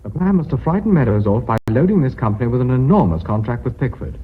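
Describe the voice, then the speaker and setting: Husky, lively British company director. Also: male voice, mid-range voice, fast. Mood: businesslike. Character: earnest, brisk company director defending his secretary. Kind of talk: British radio drama acting. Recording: muffled old radio recording.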